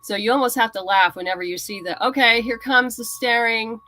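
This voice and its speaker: A woman chatting casually as an interview guest, a writer explaining things in a halting, thoughtful way. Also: on the high side, fairly even intonation, crowd noise. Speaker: guest writer and comics creator.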